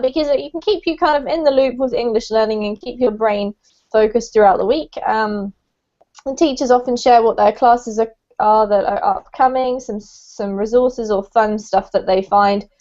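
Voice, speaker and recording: A woman's voice: a little high, a little mellow. A woman teaching an online English class, chatty and friendly, with frequent ums, over a video call. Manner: chatty, many ums, laughs often. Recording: dull lo-fi video call audio.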